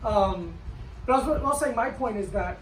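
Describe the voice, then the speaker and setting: A man co-hosting a comedy podcast, delivering crude jokes in a flat, dry way. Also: high voice, normal speed, reverberant, recorded from a distance, slight background noise, rough recording. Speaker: podcast co-host.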